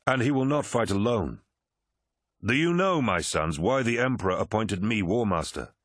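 A man voicing a commanding, wrathful warlord of superhuman warriors in a dramatic audiobook, forceful and imperious. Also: fairly low pitch, big pitch swings, normal speed, no room sound, studio quality. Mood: commanding, proud. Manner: forceful, imperious, snapping and roaring. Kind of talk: dramatic audiobook character acting.

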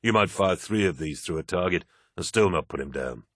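A man's voice: sits low, lively intonation, a little fast, a bit bright, no echo, studio quality. A man voicing an earnest, troubled, straight-laced warrior in an audiobook, with a questioning manner. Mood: matter-of-fact.

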